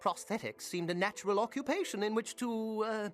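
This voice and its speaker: A man's voice: high voice, a little fast, fairly bright tone, faint music behind. An actor playing a fussy, politely obsessive and creepy male shop owner in a video game, with genteel, fluttery, hesitant speech. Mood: eager, creepy. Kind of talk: video game character acting.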